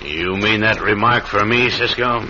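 An actor playing a hard, suspicious railroad marshal, the villain of an old radio western, with a rough, raspy voice. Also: male voice, on the low side, unhurried, bright, forceful tone, a little hiss or hum. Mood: threatening. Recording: muffled vintage radio recording.

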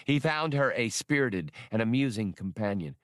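A man's voice: on the low side, lively intonation, moderate pace, no room sound, studio quality. A man reading a science fiction novel as scripted narration. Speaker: audiobook narrator.

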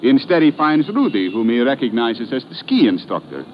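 An actor playing an earnest police lieutenant who reasons aloud haltingly in a 1950s radio adventure. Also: male voice, mid-range voice, very animated, natural voice. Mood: earnest, pondering. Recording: muffled old radio recording, faint noise.

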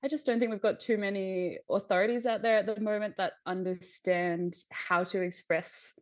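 A woman's voice: mid-range voice, steady tone, moderate pace, no echo. Reflective woman guest with a dry sound. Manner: reflective, laughs lightly, many "um" and "like" fillers. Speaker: podcast guest, visionary artist. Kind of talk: casual conversation.

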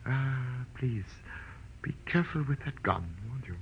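An actor playing a smug, scheming villain in a 1940s radio drama, mannered and falsely polite. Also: male voice, mid-range voice, animated, measured pace, slightly rough, heavily acted. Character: smug, silky, scheming male crime schemer. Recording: muffled, hissy old radio recording.

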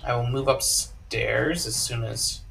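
A man's voice: medium pitch, takes it slowly, creaky, pushed and bright, faint music behind. A man playing a tabletop game, joking and bantering with the table. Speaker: player. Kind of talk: casual tabletop play.

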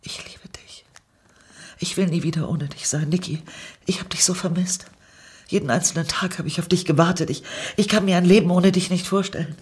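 A woman's voice: on the low side, takes it slowly, pauses often, husky, pitched above the performer's own voice, full character voice. A woman voicing a lovesick, guilty woman in a dramatic story reading, pleading with breathless emotion. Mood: tearful, loving. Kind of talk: dramatic fan fiction reading.

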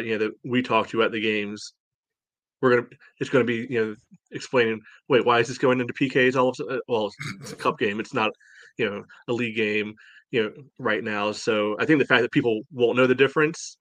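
Dude talking sports really fast, kinda low voice, says you know a lot. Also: male voice, a bit bright, dry recording. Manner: peppers speech with "you know". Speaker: podcast co-host. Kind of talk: casual sports discussion.

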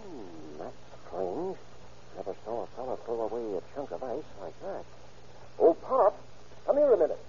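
A man's voice: medium pitch, huge pitch swings, moderate pace, darkish tone. A man playing an observant, earnest ice company superintendent in old-time radio crime drama, civil and thoughtfully hesitant. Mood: puzzled, concerned. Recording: muffled, faintly noisy old radio recording.

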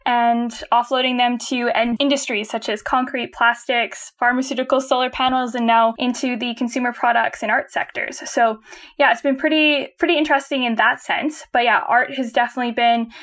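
A woman's voice: a little high, steady tone, a little fast, fairly bright tone. A Canadian woman, a guest on a podcast, explaining her company's work in a friendly way and laughing easily. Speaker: guest, company chief of staff. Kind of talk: conversational interview.

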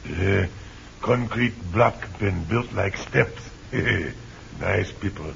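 An actor playing a loyal Javanese guide who speaks broken pidgin English in a 1940s radio serial. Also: male voice, lowish voice, drawn-out words, creaky, a bit bright, full character voice. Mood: watchful, plain. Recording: muffled, noisy old radio recording.

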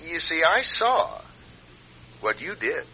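An actor playing a smug, middle-aged blackmailer with a needling, falsely chummy manner in a classic radio suspense play. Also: male voice, on the high side, big pitch swings. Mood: sly, menacing. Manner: drawn-out, needling, mock-friendly. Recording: dull lo-fi radio, faint noise.

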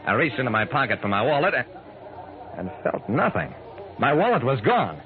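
A man's voice: mid-range voice, big pitch swings, normal speed, narrow, tinny audio, a faint crowd. A man acting as an earnest, kindly amateur sleuth who narrates his own story in an old radio drama. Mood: measured, intrigued. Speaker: narrator and lead actor. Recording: noisy, band-limited old radio broadcast.

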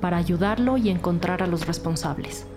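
An actress playing a brisk, firm police detective in a scripted audio drama. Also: female voice, lowish voice, over music, close to an everyday voice. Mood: firm, questioning.

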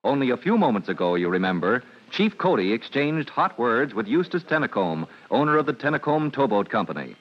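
A man's voice: mid-range voice, sing-song, a little fast, few pauses. A man with an American accent announcing and narrating an old radio drama in a declamatory, emphatic style. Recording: muffled old radio recording, faint noise.

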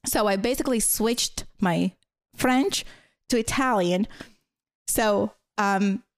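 A woman's voice: medium pitch, slow pace, dry recording, studio quality. A woman from Latvia with a Latvian accent, speaking English as a later language and chatting as a guest, rambling with many fillers. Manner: rambling with frequent fillers and apologetic laughs. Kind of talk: casual conversational storytelling.

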